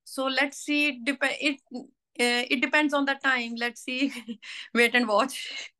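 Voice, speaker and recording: Bright-voiced Pakistani woman, halting English. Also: high voice, fairly even intonation. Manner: halting non-native English with frequent laughs. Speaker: guest. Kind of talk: casual interview.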